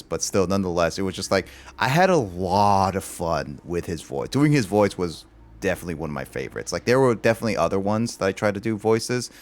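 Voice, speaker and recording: A man chatting casually on a livestream, rambling and filling his talk with "like". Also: deep voice. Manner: rambling, loaded with "like" fillers.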